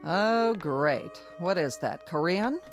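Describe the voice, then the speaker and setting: Swooping, scornful nagging wife. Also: female voice, on the low side, expressive, slow, music in the background. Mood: scornful, bitter. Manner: scolding, sarcastic, complaining. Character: shrill, domineering, bigoted nagging wife, former model. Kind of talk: radio drama acting.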